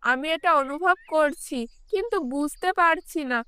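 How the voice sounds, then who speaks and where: A gentle queen played by a woman speaks with a high, bright voice. Her manner is tender and dramatic, full of feeling, in storybook cartoon style with a dry sound. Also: moderate pace, no echo. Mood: tender, puzzled. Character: gentle, emotional queen longing for her lost child. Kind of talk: Bengali fairy-tale cartoon dubbing.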